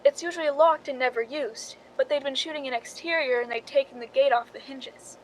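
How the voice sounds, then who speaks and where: Casting: actress as scheming jealous woman, high voice, confessional suspense narration for radio drama, slightly noisy recording. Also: a little fast, lightly acted. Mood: tense, urgent.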